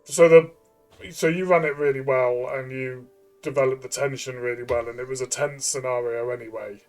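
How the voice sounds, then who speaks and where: A man chatting as a game reviewer, thinking aloud in a halting way with repeated words. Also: mid-range voice, steady tone, soft background music. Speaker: tabletop game reviewer and player. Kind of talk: casual review discussion.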